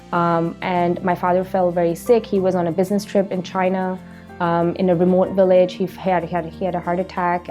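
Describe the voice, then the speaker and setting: Woman, 30s, from Malaysia, founder in a documentary interview; mellow, gravelly voice, thoughtful and filler-heavy. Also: on the low side. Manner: reflective, frequent "you know" fillers. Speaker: company founder.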